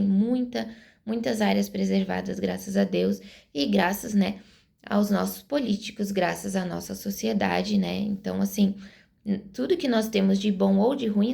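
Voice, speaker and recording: A woman's voice: medium pitch, gravelly, warm tone. A Brazilian woman in her forties giving her opinions to camera in an earnest, explanatory way, thinking aloud as she talks. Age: 40s. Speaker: vlogger.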